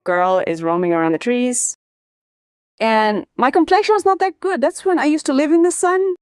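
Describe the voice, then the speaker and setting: A woman's voice: high voice, normal speed, clear, resonant tone, dry recording, studio quality. A woman, an interview guest telling personal stories and acting out quoted family dialogue as she goes. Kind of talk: personal storytelling.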